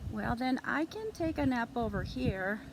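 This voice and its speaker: A woman's voice: lively intonation, a little slow, creaky. A woman voicing a puzzled, earnest young male dog pupil in a read-aloud children's storybook. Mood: puzzled, reluctant. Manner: protesting, earnest. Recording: noisy room with background rumble.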